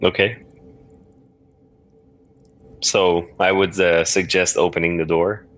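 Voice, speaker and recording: A man playing an interactive fiction game as a guest, talking casually and haltingly as he thinks out loud. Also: low-pitched, flat delivery. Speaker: podcast guest, game player. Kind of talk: casual improvised game play.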